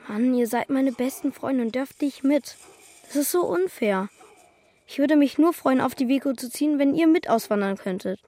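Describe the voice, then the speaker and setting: An actress playing a youthful, informal, slightly grumbling child in a German children's radio drama. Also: female voice, high-pitched, lively intonation, moderate pace, mellow. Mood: wistful. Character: child about to emigrate to space, attached to dog.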